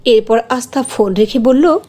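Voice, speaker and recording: A woman's voice: on the high side, normal speed, warm tone. A woman narrating a scripted fairy tale for a cartoon as its storyteller.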